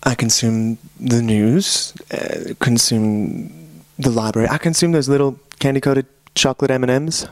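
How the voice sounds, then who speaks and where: A man's voice: medium pitch, dry recording, studio quality. A man from New York performing slam poetry in a sardonic, chanting, emphatic list-like delivery. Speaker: slam poet.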